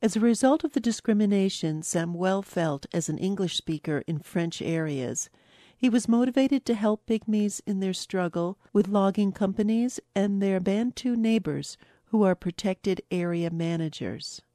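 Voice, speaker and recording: A woman working as interviewer and narrator, giving measured narration and questions. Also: mid-range voice, warm tone, no echo, studio quality.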